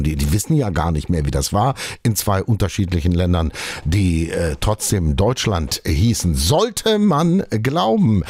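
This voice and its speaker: A man anchors a regional TV news programme from the studio, introducing reports and questioning a guest. His voice is low but swings widely in pitch, with a lively, emphatic delivery, and the sound is dry and close. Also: no room sound. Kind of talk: news moderation and interview.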